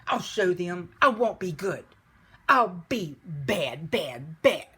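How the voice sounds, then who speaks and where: A woman's voice: sits high, lively intonation, normal speed, vocal fry, fairly bright tone, slightly rough, pitched above the performer's own voice, heavily acted. A woman voicing a storybook big bad wolf, male, well-mannered but easily hurt, with dramatic, emphatic delivery. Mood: indignant.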